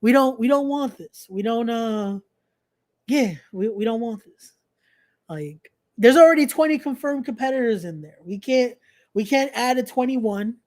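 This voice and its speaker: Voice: mid-range voice, animated, a little slow, a little mellow. A young adult podcast host chatting casually on a live stream, promoting events and often repeating phrases with informal, slangy asides.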